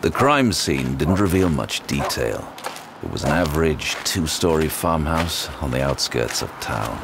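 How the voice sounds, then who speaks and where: An actor playing a terse, battle-hardened police detective in an audio drama, deadpan and authoritative. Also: male voice, a bass voice, unhurried, vocal fry, exaggerated voice. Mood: brooding. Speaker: lead character and narrator. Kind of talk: full-cast audio drama, noir narration and dialogue. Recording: music behind the voice.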